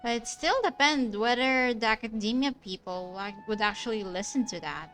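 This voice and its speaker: A woman's voice: fairly high pitch, normal speed, a bit bright. A woman streamer giving casual live reactions while playing a game. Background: game music.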